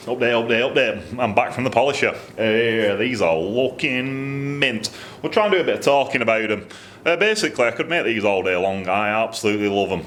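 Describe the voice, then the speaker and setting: A middle-aged man with a fairly low voice talks casually and enthusiastically, rambling a little and poking fun at himself. He records in his own workshop, with a faint hum of noise behind him. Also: a bit bright. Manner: chatty, rambling, self-deprecating, enthusiastic. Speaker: motorcycle exhaust maker. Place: indoor workshop.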